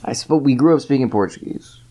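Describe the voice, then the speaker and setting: A man co-hosting a casual comedy podcast, tossing in dry deadpan asides between bursts of laughter. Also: mid-range voice, quick pace, slight background noise. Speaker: podcast co-host.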